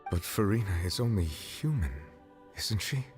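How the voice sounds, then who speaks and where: An actor voicing a grave, composed court dignitary in a video game, with formal and restrained earnestness. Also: male voice, lowish voice, animated, moderate pace, darkish tone, close to an everyday voice. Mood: troubled, compassionate. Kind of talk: video game dramatic dialogue. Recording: music behind.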